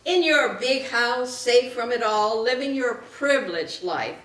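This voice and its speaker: Woman acting grieving defiant daughter, higher voice, slow biting delivery, sarcastic, staged reading, echoey room. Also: expressive, fairly bright tone. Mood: angry, accusing. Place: indoor live reading at a public library. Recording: echoey room, picked up from a distance.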